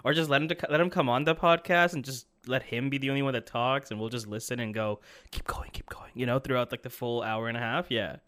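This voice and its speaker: A young male podcast co-host chats casually and laughs easily. His voice is smooth and clean at a middling pitch. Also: steady tone, fast talker, few pauses, fairly bright tone.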